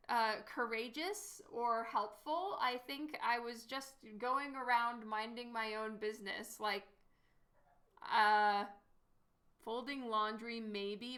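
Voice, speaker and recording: A woman's voice: a little high, bright, forceful tone. A woman artist casually chatting with stream viewers while she paints, giggling often and answering their questions.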